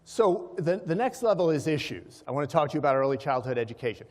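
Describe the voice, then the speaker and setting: A man's voice: on the high side, very animated, quick pace, a little mellow. A man giving an explanatory presentation to a live audience, checking in with listeners, with hesitations as he goes. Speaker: conference speaker, organization president. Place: large hall, live event. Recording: reverberant hall sound.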